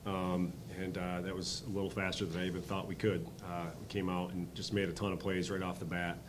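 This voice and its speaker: A man in his thirties, a head coach answering postgame press questions at a table mic, halting with many ums and uhs. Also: sits low, flat delivery, background noise. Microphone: table mic on stand.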